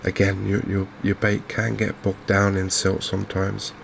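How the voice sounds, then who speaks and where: A man with an English accent giving gentle, unhurried, softly spoken ASMR commentary on a video game. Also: lowish voice, monotone, vocal fry, background noise. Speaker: gaming video host. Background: fishing game ambience.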